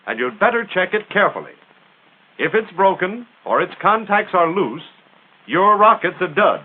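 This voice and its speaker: High-pitched American man, vintage film narrator. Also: husky. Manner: punchy, emphatic, instructional. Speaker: military training film narrator. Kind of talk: 1940s military training film narration. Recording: tinny narrow-band 1940s film soundtrack.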